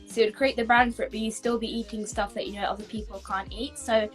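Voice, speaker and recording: A woman's voice: on the high side, fairly even intonation, quick pace, faint music behind. A young woman hosting an interview, asking long casual questions with lots of fillers. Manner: rambling questions with many fillers. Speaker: host and interviewer.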